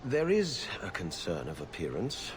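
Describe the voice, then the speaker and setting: Low, haughty court steward. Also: male voice, huge pitch swings, a little slow, background noise, heavily acted. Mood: grave, disdainful. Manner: formal, clipped, disdainful. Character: weary, haughty male court steward guarding a viscount's door. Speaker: character in a video game. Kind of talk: scripted video game dialogue.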